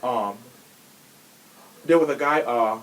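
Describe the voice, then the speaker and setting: A man's voice: mid-range voice, slow, rough recording. Sassy man chatting on a podcast. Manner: sassy, frank, profane slang. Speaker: podcast host. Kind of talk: casual true crime chat.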